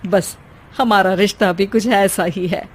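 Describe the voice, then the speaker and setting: A woman voicing a sharp-tongued, bossy but protective cartoon mother-in-law with an emphatic, scolding, sarcastic delivery. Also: mid-range voice, steady tone, a little slow, a little hiss or hum, close to an everyday voice. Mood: proud, emphatic.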